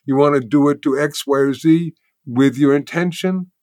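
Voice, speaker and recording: Older male guest, fairly high voice, dry close sound, halting explainer. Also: darkish tone, no echo. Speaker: guest, sound healing teacher. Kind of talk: conversational explanation.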